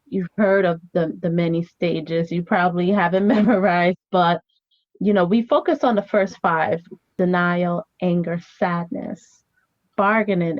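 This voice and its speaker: A woman's voice: fairly low pitch, no room sound, dull audio. A woman in her thirties whose first language is Haitian Creole, a family therapist telling stories as an interview guest with lots of "you know" fillers. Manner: animated storyteller, many "you know" fillers.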